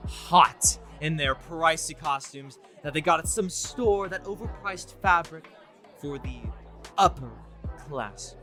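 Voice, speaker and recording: A man's voice: a little high, slow pace, sharp tone, faint music behind. A man playing a smug, deluded college student who thinks he is the Joker, in a pompous, theatrical story reading. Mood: grandiose. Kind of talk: dramatic first-person story reading.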